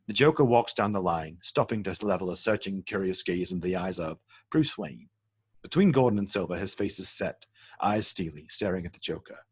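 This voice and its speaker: Husky, lowish male narrator. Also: animated, moderate pace, dry recording, clean studio sound. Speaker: stage-direction narrator. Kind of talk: scripted narration at a table read.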